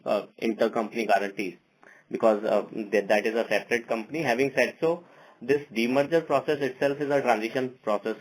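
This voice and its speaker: Male finance executive, medium pitch, explanatory and halting on an investor call. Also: low-quality recording. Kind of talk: earnings call answers.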